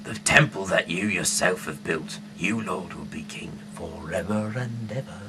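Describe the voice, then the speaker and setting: A man with a deep, often hushed voice reads scripture aloud informally, with halting, casual asides. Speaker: host reading the Bible aloud. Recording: noisy amateur recording with hum.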